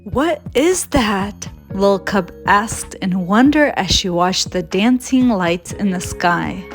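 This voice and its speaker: A woman reading a children's storybook aloud as storyteller in a gentle, expressive manner. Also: mid-range voice, slow, faint music behind.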